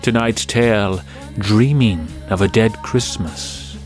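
A man reads the closing credits of a radio drama in a measured, formal tone. He speaks very slowly in a lowish voice. Faint music plays behind him. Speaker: credits announcer. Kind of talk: scripted narration.

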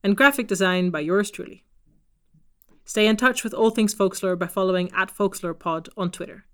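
A woman's voice: medium pitch, moderate pace, a bit bright. A woman reading out scripted end credits for a podcast as its writer and performer.

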